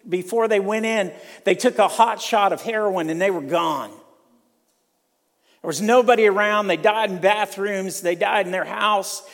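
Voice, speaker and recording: An older man from Ohio preaching a sermon in a church, slow and emphatic, repeating key lines. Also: high-pitched. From Ohio, USA. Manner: slow, emphatic preaching with repetition and rising intensity. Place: church sanctuary. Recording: long hall reverb.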